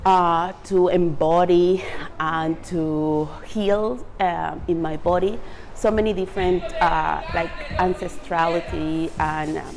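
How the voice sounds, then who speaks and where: A woman's voice: fairly low pitch, steady tone, slow, gravelly, a little mellow. A woman performer speaking in a searching, hesitant way about her work in a documentary interview. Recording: reverberant with faint music.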